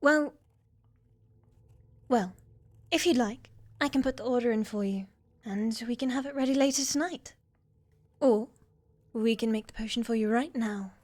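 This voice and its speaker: A woman's voice: a little high, normal speed, pauses often, darkish tone, natural voice. A woman playing a shy, sweet young witch's apprentice in an ASMR fantasy roleplay, polite, hesitant and eager to please. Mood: dutiful.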